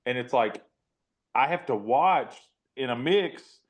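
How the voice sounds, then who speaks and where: A man with a baritone voice hosting a casual conversation, often repeating words as he starts a thought. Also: animated, takes it slowly. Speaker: host.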